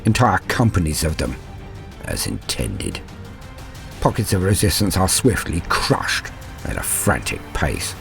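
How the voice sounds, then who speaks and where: A man voicing a ruthless, zealous warrior of dark gods who recounts his conquest in a menacing, theatrical and grandiose way. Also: sits low, wildly swooping pitch, measured pace, vocal fry, soft background music, heavily acted. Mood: cold triumph. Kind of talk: dramatic first-person war story narration.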